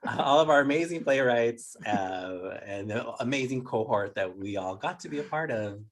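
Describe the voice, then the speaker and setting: Lively American man hosting an interview. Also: medium pitch, normal speed. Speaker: interview host, workshop facilitator. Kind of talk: upbeat podcast interview.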